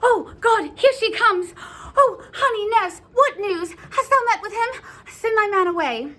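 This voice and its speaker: A woman's voice: high-pitched, expressive, unhurried, bright, forceful tone, voice pushed up, exaggerated voice. A woman playing an impatient, lovesick teenage girl, reciting theatrical verse in a pleading, dramatic way. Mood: excited.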